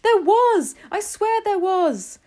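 A woman voicing a panicked male kitchen cook from a storybook, shouting frantically. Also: very high voice, big pitch swings, takes it slowly, pitched above the performer's own voice, heavily acted. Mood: frantic.

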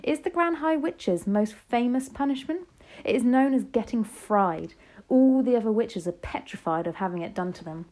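Woman voicing an elderly grandmother: commanding, urgent, pitched above her own voice, storybook read-aloud. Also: measured pace. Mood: excited. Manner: urgent, excited questioning.

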